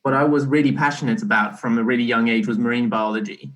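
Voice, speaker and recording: A young man talks as a guest in a casual interview. His voice has an average male pitch, and he explains things haltingly, often restarting a phrase midway. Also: fairly even intonation, a bit bright, rough recording. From Belgian-born, partly raised in Barbados. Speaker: interview guest, startup co-founder.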